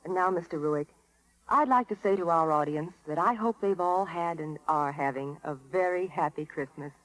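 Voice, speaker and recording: A woman's voice: fairly low pitch, moderate pace, no room sound, rough recording. An American woman giving an earnest, genteel scripted advertising talk on 1930s radio. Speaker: radio commercial spokeswoman. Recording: dated 1930s radio broadcast.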